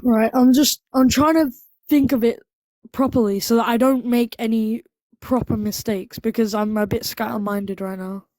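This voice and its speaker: A woman's voice: a little high, steady tone, normal speed, darkish tone, no room sound. An eighteen-year-old woman with a childlike voice, calling into a debate and searching for her words. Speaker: caller.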